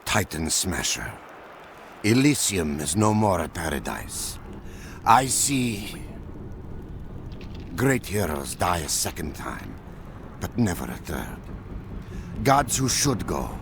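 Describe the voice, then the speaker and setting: A man's voice: deep voice, background noise, exaggerated voice. An actor playing a blind old male seer in a fantasy game, speaking solemnly and cryptically with portentous weight. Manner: solemn, portentous, oracular delivery. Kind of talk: video game cutscene acting.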